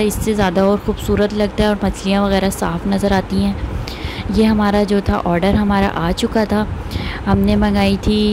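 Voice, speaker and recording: A woman's voice: medium pitch, noisy background. A woman, casually narrating her family outing for a vlog and recommending the place. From Pakistan. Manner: chatty, recommending, pious phrases. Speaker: vlogger.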